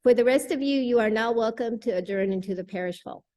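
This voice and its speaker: A woman's voice: a little high, quick pace, dark tone. A woman serving as worship associate, informally making announcements and moderating a church discussion.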